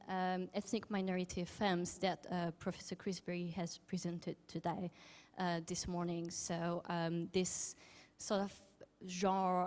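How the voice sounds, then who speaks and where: A woman with a French accent giving an academic conference talk, polite and a little halting, with frequent restarts. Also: lowish voice. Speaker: academic speaker. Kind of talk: conference presentation.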